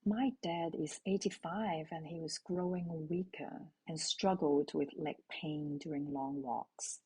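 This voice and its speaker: A middle-aged woman from Hong Kong, a native Cantonese speaker, telling a personal parenting story in a calm, intimate way and asking her listeners questions. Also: deep voice, slow pace, slightly rough, rough recording. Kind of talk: reflective spoken essay.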